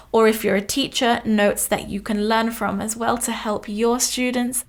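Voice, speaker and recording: A young woman, an English teacher, hosting and examining a mock speaking exam with clear, encouraging delivery. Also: fairly high pitch.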